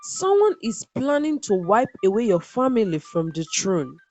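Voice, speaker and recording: A woman's voice: medium pitch, very animated, normal speed, darkish tone, faint music behind. A woman voicing a scheming, manipulative royal uncle in a folktale, speaking with insinuating deliberation. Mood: grave, persuasive.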